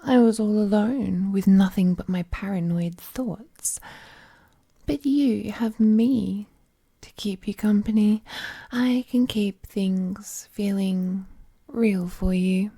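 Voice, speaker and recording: Woman acting a slow, breathy, possessive immortal villainess. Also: medium pitch, dark tone. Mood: languid, wistful. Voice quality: breathy, often dropping to a whisper. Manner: slow, languid, condescendingly affectionate, sighing. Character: ruthless, possessive, all-powerful female being, sweetly menacing captor. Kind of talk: intimate roleplay audio drama monologue.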